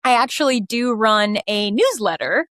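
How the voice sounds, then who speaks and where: A woman chatting casually as a podcast guest, playful and animated in the way she talks. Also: on the high side, moderate pace, no room sound, studio quality. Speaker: podcast guest, musical theater writer and performer. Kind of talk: casual interview chat.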